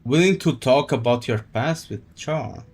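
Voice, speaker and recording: Slow-paced man streaming, very animated pitch. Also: slightly rough. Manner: crude joking, slangy. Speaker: livestreamer. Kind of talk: casual game livestream commentary.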